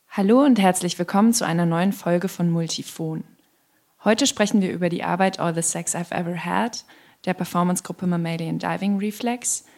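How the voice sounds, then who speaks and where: A woman hosting a podcast, reading a scripted introduction and then asking calm questions. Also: fairly low pitch. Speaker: podcast host.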